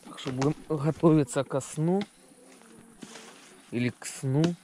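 A man's voice: a little high, unhurried, dark tone, a little hiss or hum. A man narrating a casual camping vlog outdoors, talking to viewers. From Ukraine. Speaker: camping vlogger. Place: outdoors at a forest campsite.

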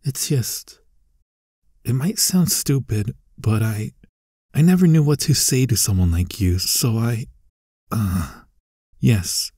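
A man's voice: medium pitch, expressive, slow pace, long pauses, breathy edge, dry recording, clean studio sound. A man playing a tsundere young bully, teasing but secretly caring, in an ASMR roleplay with a hesitant delivery. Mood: sheepish, flustered. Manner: hesitant, stammering, softly spoken asides.

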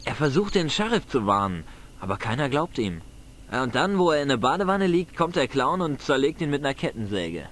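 Frecher Teenager mit lebhafter Stimme. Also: male voice, medium pitch, very animated, brisk, fairly bright tone, a little hiss or hum. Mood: cocky. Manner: slangy, cocky, dramatic storyteller. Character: cheeky defiant teenage Irish village boy, prone to tall tales. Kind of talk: video game voice acting.

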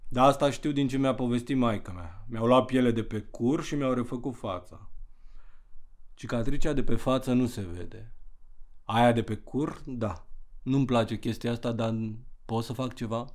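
A man's voice: mid-range voice, lightly acted. An actor playing a troubled grown son, a young man confiding in a bitter, wry, conversational way in an audio drama.

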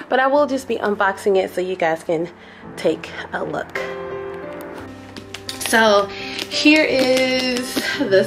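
A young woman vlogging an unboxing in a small living room, chatty and excitable, a little sniffly from a cold. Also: mid-range voice. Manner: chatty and excitable, addresses viewers as y'all. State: sniffly, getting over a cold. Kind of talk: casual unboxing vlog.

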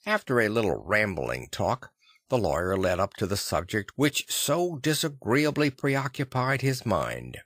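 A man reading literary fiction as an audiobook narrator, with measured, expressive storytelling. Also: medium pitch, no echo, studio quality.

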